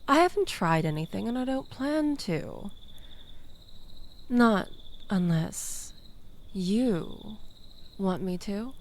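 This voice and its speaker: Woman acting as toxic flirty ex, very slow drawn-out delivery, swooping lively pitch, coaxing, mock-innocent, intimate audio roleplay. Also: a little hiss or hum, heavily acted. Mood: teasing, seductive. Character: manipulative, flirtatious toxic ex-lover meeting late at night.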